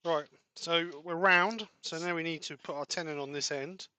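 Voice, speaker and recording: A man's voice: fairly high pitch, fairly even intonation, moderate pace, sharp tone, smooth, no room sound. A man giving a live woodturning demonstration in his workshop, explaining each step as he works and sometimes trailing off mid-sentence. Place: home workshop.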